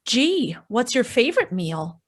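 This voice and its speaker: A woman's voice: a little high, big pitch swings, a little slow. A woman playing an eager, wide-eyed questioner in an old-time radio advert, full of exclamations. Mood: eager, amazed. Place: home, live online video performance.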